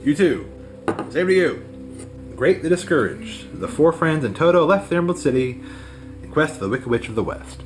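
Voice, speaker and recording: A man narrates a storybook with a lively, swooping delivery. He reads aloud expressively, and music plays softly behind him. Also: a little high, brisk.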